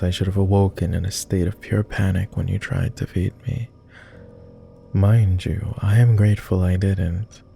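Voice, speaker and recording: A man playing a weary, courteous immortal vampire in intimate ASMR roleplay, speaking softly in formal, hesitant phrases. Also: low voice, vocal fry. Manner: formal, measured, hesitant with pauses. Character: weary, reserved, self-loathing male vampire, immortal, courteous.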